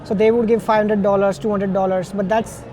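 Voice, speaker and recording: A man's voice: sits high, flat delivery, brisk, darkish tone, faint music behind. A man interviewing a guest conversationally, affirming and recapping points.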